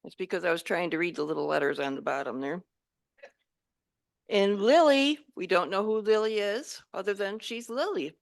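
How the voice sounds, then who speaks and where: A woman's voice: medium pitch, expressive, normal speed, gravelly, no echo, studio quality. A woman chairing a hybrid club meeting, chatty and welcoming with light jokes. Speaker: meeting host. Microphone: room microphone.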